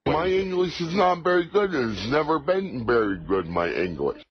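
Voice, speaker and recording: A man with a husky voice rambling on a call in a halting, repetitive, confrontational way with odd broken phrasing. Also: on the high side, sing-song. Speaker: prank call caller, played through a soundboard. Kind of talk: rambling phone talk. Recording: dull lo-fi soundboard clips.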